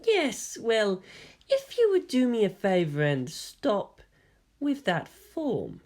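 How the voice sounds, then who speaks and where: Woman voicing a soft cryptic young hybrid. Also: medium pitch, wildly swooping pitch, pitched above the performer's own voice. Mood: cryptic calm. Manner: soft, measured, quietly mocking. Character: calm cryptic young male otherworldly hybrid.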